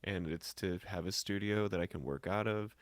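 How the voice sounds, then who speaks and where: A man's voice: low-pitched, moderate pace, no room sound, studio quality. A man who works as a musician and voice actor, talking casually and reflectively as an interview guest.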